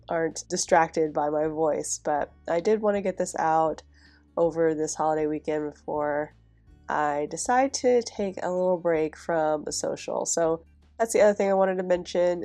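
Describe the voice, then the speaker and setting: A young woman with a stuffy, nasal voice from a cold, chatting casually in a voice-over as she decorates a planner. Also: low-pitched. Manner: chatty, relaxed, with soft laughs. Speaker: planner vlogger.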